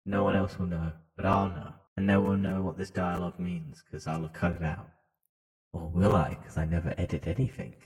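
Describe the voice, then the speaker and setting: A man's voice: sits low, fairly even intonation, brisk, a little mellow. A man co-hosting a podcast with casual, crude joking banter in a reverberant room. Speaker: podcast co-host.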